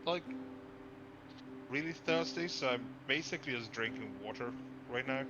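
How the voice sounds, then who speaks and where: A man's voice: mid-range voice, moderate pace, background noise. A man chatting casually on a livestream call, joking and rambling, tripping over his words, sleepy at an early hour. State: sleepy, awake early in the morning. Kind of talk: casual chat. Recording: muffled lo-fi call audio. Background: guitar music.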